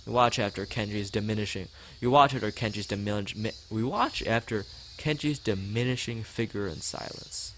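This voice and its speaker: A man's voice: on the low side, normal speed, few pauses, fairly bright tone, slight background noise. A man reading a visual novel aloud as a let's player, adding commentary and often stumbling and rereading lines.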